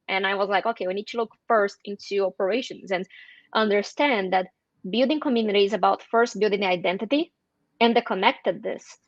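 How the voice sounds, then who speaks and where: A woman guest in an interview, speaking thoughtfully with frequent "like" fillers and slowing down for emphasis. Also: medium pitch, a bit bright, dry recording, muffled recording. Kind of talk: interview conversation.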